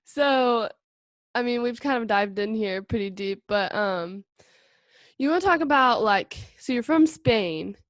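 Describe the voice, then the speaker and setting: A woman's voice: fairly high pitch, expressive, a little slow, no echo. A young woman hosting a casual podcast chat in a friendly way, laughing often. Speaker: podcast host.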